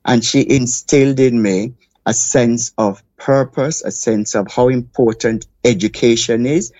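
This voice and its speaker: An older man from Jamaica, a guest in an interview, recounting his childhood in a measured, reflective way. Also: mid-range voice, a little mellow, dry recording, highs cut off. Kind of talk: interview storytelling.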